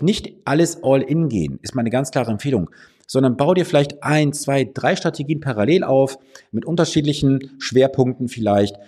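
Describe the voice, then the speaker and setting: Husky man with a cold. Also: medium pitch, mellow. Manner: addresses listener directly, emphatic, persuasive. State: slightly hoarse from a cold. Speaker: financial advisor and podcast host. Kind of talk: direct-to-camera advice monologue. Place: office.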